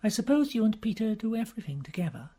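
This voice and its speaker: A woman doing a high-strung young noblewoman, breathless, shrill, husky undertone. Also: very animated, quick pace, warm tone. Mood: composed.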